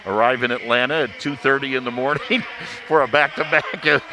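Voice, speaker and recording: A man's voice: medium pitch, fairly quick. A man working as a radio play-by-play announcer, chatting casually on air in a folksy, joking way. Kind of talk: casual broadcast banter and commentary. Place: sports arena. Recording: noisy arena ambience. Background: clear arena noise.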